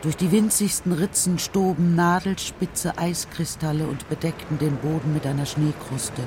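A narrator reading a children's radio drama story in a measured, serious, literary style. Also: medium pitch, steady tone, noisy background.

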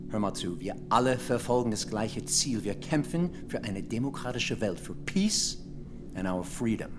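A man's voice: lowish voice, animated, normal speed, close to an everyday voice. Actor as patronising male agent, music behind. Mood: earnest, defensive. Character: evasive, self-justifying male American intelligence agent. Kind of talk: radio crime drama acting.

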